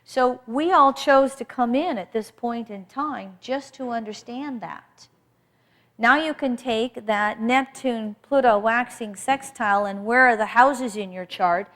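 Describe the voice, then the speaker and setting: A woman teaching an astrology workshop in a loud, emphatic voice, repeating key points for her class. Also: medium pitch. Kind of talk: workshop lecture.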